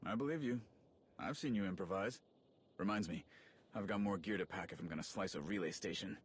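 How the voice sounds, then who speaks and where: A man's voice: deep voice, a little fast, a little hiss or hum, lightly acted. A man acting a chatty, friendly male soldier and slicer in a video game cutscene, casual and wry with rambling asides. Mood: thoughtful.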